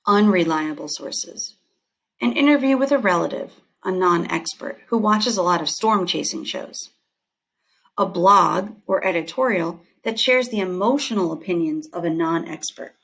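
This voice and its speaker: A woman teacher reading scripted lesson text aloud in a deliberate, instructional manner. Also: fairly low pitch, creaky, fairly bright tone.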